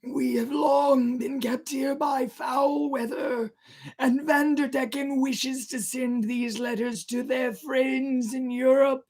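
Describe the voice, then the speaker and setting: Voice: level tone, takes it slowly, full character voice. A sobbing ghost sailor. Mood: pleading. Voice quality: high, strained, sobbing. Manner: drawn out, crying and shouting by turns. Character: weary ghostly male sailor, pleading and tearful.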